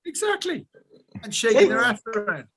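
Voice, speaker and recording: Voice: medium pitch, sing-song, moderate pace, crowd noise. A co-host on a video call, dropping teasing, dry remarks into the chat. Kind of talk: casual podcast banter.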